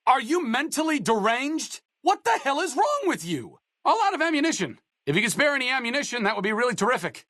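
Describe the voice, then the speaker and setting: A man's voice: very high voice, very animated, fairly quick, few pauses, bright, forceful tone, no echo, full character voice. A man voicing a self-deprecating, bookish wasteland doctor in a video game, with dry, sarcastic and articulate delivery. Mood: alarmed. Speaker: video game companion character. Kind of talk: scripted game voice lines.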